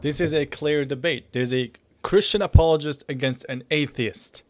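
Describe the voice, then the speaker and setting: A man's voice: fairly high pitch, normal speed, darkish tone, slight background noise. A man hosting a live reaction stream, cutting in with blunt, argumentative casual commentary.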